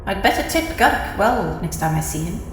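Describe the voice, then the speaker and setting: A woman playing a brisk, wary space bounty hunter in an audio drama. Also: mid-range voice, big pitch swings, faint music behind, close to an everyday voice. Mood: inward musing. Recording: echoey room with long reverb.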